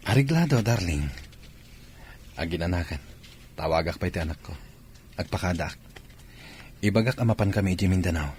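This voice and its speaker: An actor playing a gruff, evasive, two-timing soldier husband in an Ilocano radio drama, defensive and insistent. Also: male voice, deep voice, lively intonation, a little fast, pauses often, a little mellow, a little hiss or hum. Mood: reassuring.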